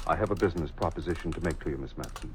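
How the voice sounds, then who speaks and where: An actor playing a suave, flirtatious wealthy shipping millionaire in a vintage radio drama, speaking in a polished, flowery manner. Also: male voice, extremely low pitch, rapid-fire, slight background noise. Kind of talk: 1940s radio acting. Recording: dull old radio recording.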